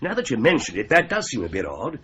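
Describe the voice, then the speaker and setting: An actor playing a bluff, easily astonished gentleman colleague who exclaims in surprise, in a radio drama. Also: medium pitch, wildly swooping pitch, fast talker, husky, exaggerated voice. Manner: bluff, exclaiming "Good Lord", self-deprecating. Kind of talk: BBC-style radio drama acting. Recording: dull lo-fi radio play with faint hiss.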